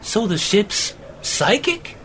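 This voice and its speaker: A man acting as a curious, hesitant companion in a radio play, halting and full of questions. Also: sits high, sing-song, fairly bright tone, a little hiss or hum, heavily acted. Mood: puzzled. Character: curious, hesitant male companion, puzzled by science.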